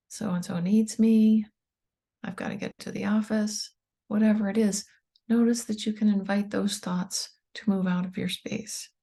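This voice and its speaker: Soft, breathy woman guiding a meditation. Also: mid-range voice. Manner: calm, soothing, unhurried with pauses. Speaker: meditation guide, tapping coach. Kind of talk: guided meditation.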